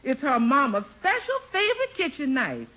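A woman's voice: high-pitched, wildly swooping pitch, normal speed, bright, forceful tone, heavily acted. A woman playing a forthright, good-humored American housekeeper in a 1950s radio comedy, folksy and pointed. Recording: dull old radio recording.

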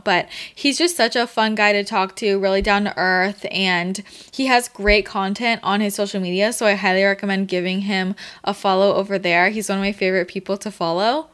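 A woman's voice: medium pitch, fairly bright tone. Enthusiastic woman podcast host. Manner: enthusiastic, chatty, laughs while talking. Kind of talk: ad read and guest introduction.

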